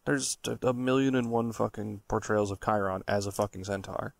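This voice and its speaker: A man with a low voice that crackles with heavy fry, recorded close and dry. He co-hosts a casual podcast, swearing freely and leaning hard on his points as he talks. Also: fairly even intonation, moderate pace, dry recording. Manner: profane, blunt, emphatic. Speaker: podcast co-host. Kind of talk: casual banter and storytelling.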